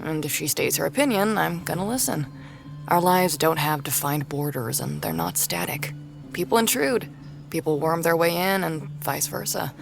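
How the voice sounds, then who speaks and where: Gravelly, blunt, wry woman. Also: low-pitched, normal speed, music in the background. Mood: frank, caring. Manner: slangy, wry, casual. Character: blunt, foul-mouthed woman, tough but caring drinking buddy. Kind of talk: naturalistic audio drama acting.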